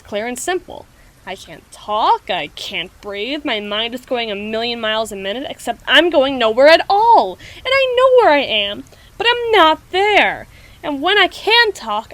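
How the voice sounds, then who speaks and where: A woman playing a proud, anxious, flustered schoolgirl in an ASMR audio roleplay, speaking in halting, formal phrases. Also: high-pitched, fairly bright tone, no echo. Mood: anxious confession. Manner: halting, prim, formal phrasing with trailing restarts.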